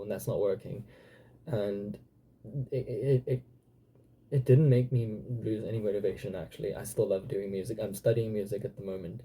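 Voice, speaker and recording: Gravelly-voiced young South African man. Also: lowish voice, warm tone. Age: 20s. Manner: hesitant, many fillers and restarts, occasional swearing. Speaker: channel host. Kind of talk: casual personal vlog.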